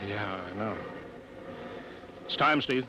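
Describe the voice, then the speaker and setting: An actor playing a serious, cautious test flight director in a 1950s radio drama. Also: male voice, on the low side, takes it slowly. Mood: concerned. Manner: earnest, instructive. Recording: noisy vintage radio recording.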